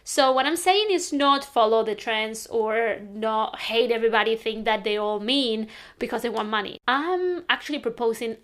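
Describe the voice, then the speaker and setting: A woman's voice: a little high, moderate pace, a bit bright. A young woman from northern Spain, talking earnestly and rambling a bit in a casual monologue. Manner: earnest, rambling, self-correcting. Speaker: lifestyle podcaster.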